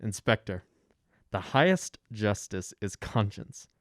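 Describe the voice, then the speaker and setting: A man playing calm, commanding mayor. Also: lowish voice, takes it slowly, no room sound, clean studio sound, exaggerated voice. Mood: firm. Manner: calm, firm, compassionate. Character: kind, authoritative male town mayor. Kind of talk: radio drama acting.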